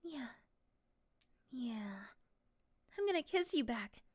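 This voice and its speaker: Casting: actress as a lovestruck young woman, high and unhurried, soft and sleepy, sweetly teasing, for romantic ASMR audio roleplay. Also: very animated, husky, heavily acted.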